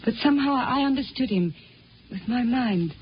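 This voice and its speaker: An actress playing a wistful, dreamy young Martian wife in 1950s radio drama style. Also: female voice, on the high side, animated, normal speed, lightly acted. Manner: dreamy, musing, hesitant. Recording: dull, noisy 1950 radio recording.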